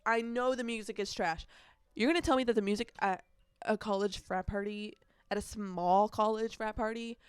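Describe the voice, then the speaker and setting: A woman's voice: mid-range voice, smooth, no room sound. A nineteen-year-old woman chatting casually on a solo podcast, rambling and correcting herself, with youthful slang and emphatic repetition. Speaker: podcast host.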